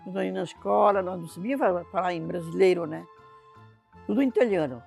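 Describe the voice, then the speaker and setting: Older man, high and gravelly, chatty reminiscing. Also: fast talker, music in the background. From southern Brazil. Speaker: interviewee.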